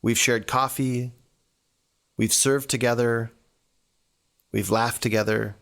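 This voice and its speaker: A man reading scripture and reflecting on it as a church devotional, speaking earnestly in deliberate, short phrases. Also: medium pitch, steady tone, vocal fry, fairly bright tone. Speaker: church staff member giving a devotional.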